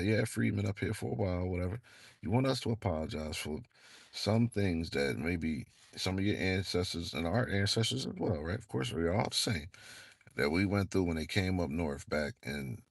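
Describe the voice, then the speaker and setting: A man's voice: low voice, creaky, no echo, studio quality. A young man from the northeastern United States giving a rambling, laid-back monologue full of fillers and slang. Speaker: channel host. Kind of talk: casual monologue vlog.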